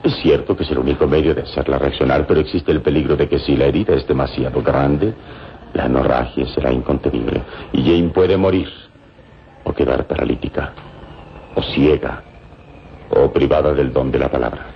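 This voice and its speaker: A man playing a calm, wise hero in an old radio drama, speaking gravely and deliberately. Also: rumbling low. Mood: solemn warning. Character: calm, wise male hero with hypnotic powers. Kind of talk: old Latin American radio drama acting. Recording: dull, noisy old radio recording.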